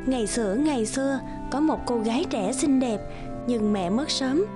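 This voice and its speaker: A woman's voice: on the high side, moderate pace. A woman narrator reading scripted fairy tales in a storytelling style. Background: music.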